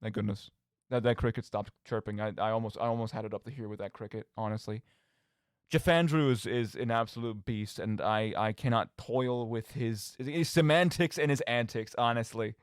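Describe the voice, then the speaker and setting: A man's voice: medium pitch, normal speed, clear, resonant tone, no room sound, studio quality. A man hosting a radio show solo, rambling casually and theatrically with conversational asides. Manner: animated, theatrical mock outbursts, conversational asides. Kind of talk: casual rambling monologue about video games.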